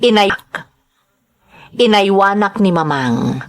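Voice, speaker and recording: A woman playing an earnest, dutiful carer in a family audio drama, speaking with measured emotion. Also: medium pitch, animated. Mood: earnest, sorrowful. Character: earnest, dutiful woman explaining her care for a mother. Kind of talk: Ilocano audio drama acting.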